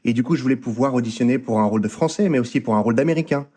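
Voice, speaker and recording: A man's voice: medium pitch, very fast. A man, an actor and voice coach, telling stories in a casual, playful interview chat. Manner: animated, playful storyteller.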